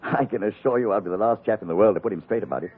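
An actor playing a polite, easily astonished British gentleman in an old-time radio thriller, with old-fashioned phrasing. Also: fairly low pitch, racing, slight background noise, close to an everyday voice. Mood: puzzled, concerned. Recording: muffled, narrow old radio recording.

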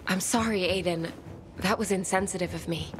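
A woman's voice: on the low side, moderate pace, a little hiss or hum, lightly acted. An actress playing a bookish, romantic and courteous woman survivor in a video game, speaking formally and thoughtfully. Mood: apologetic. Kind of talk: video game cutscene dialogue.

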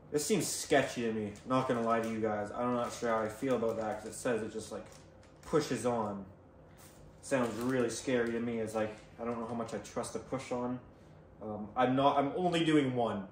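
In an echoey room with faint noise behind him, a man talks casually to his viewers. His voice is medium in pitch. He rambles informally through an unscripted show-and-tell, thinking aloud as he goes. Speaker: DIY video host.

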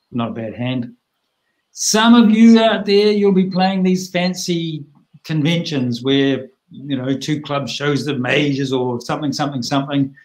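A middle-aged man teaching a bridge hand over a video call, plain-spoken and chatty with lively asides. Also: on the high side, low-quality recording.

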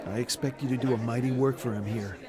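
A man's voice: mid-range voice, steady tone, fast talker, close to an everyday voice. Friendly devout man, acted, crowd behind. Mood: warm, sincere.

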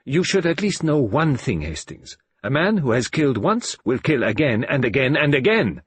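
A man's voice: medium pitch, big pitch swings, moderate pace, husky, pitched above the performer's own voice. A man voicing an elderly, frail detective, speaking in a precise, formal, sly manner. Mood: earnest.

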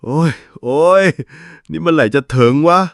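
A man voicing a greedy, easily frightened ghost hunter in a cartoon, who holds the camera and complains loudly. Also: on the high side, normal speed, vocal fry, no echo, clean studio sound. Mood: griping. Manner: cowardly, loud, complaining. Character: jumpy, greedy elder ghost-hunting cameraman. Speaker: cartoon voice actor.

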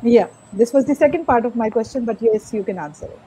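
Mellow-voiced woman moderator. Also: fairly high pitch, fast talker, a little hiss or hum, rough recording. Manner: asks questions. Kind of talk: panel discussion.

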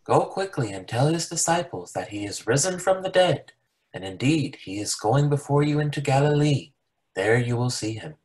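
A man's voice: mid-range voice, lively intonation, normal speed, darkish tone, lightly acted, rough recording. A man playing a reassuring angel proclaiming good news in a dramatic scripture reading. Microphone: video call.

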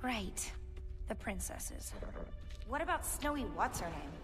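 Sarcastic streetwise cartoon heroine, young n high voice, film music underneath. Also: female voice, sing-song, fairly bright tone, exaggerated voice. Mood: hesitant. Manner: sarcastic, quick-witted. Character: wry, streetwise young female adventurer. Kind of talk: animated film voice acting.

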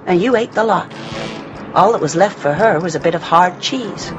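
Possessing demon, played by a woman: taunting, foul-mouthed, very animated delivery, radio horror drama. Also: on the low side, moderate pace, music in the background, lightly acted, poor audio. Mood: mocking. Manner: mocking, sneering.

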